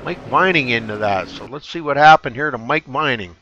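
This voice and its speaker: A man calling a live race as play-by-play commentator, sounding stuffed up from allergies, excitable and folksy. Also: mid-range voice, a little fast, dull audio, a little hiss or hum.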